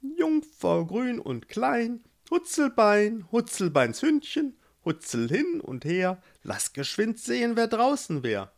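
A man's voice: high voice, huge pitch swings, takes it slowly, vocal fry, hardly any pauses, pitched above the performer's own voice, heavily acted. A man voicing a giant, kindly female toad who lives in a cave and chants magic rhymes. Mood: chanting. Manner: chanting rhymes, chatty, hesitant.

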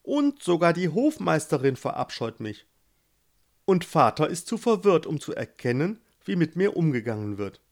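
A man's voice: on the high side, normal speed, pitched above the performer's own voice. A man voicing a young, unlucky prince who whines and pities himself. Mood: whiny. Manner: complaining, excitable. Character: young unlucky prince, whiny and self-pitying.

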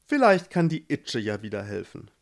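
A man's voice: lowish voice, normal speed, creaky. A man voicing a young, unlucky prince who whines and pities himself. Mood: whiny. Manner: complaining, excitable. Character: young unlucky prince, whiny and self-pitying.

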